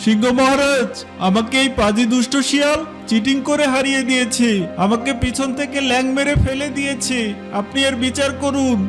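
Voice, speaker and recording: Man voicing a high-pitched, hot-headed cartoon gorilla. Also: fairly even intonation, brisk, over music. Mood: aggrieved. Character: boastful, hot-headed gorilla, quick to complain. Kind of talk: children's cartoon voice acting.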